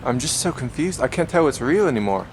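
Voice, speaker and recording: A man playing a polite, confused newcomer in an audio drama, speaking naturally and haltingly. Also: mid-range voice, sing-song. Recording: clear urban and traffic noise.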